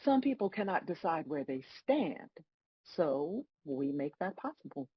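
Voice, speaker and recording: Wry, expressive husky woman acting as God. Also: sits low, measured pace, no room sound, lo-fi sound, exaggerated voice. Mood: patient explaining. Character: God as a wry, patient, bemused female celestial judge. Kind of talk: live table read of a comedic play.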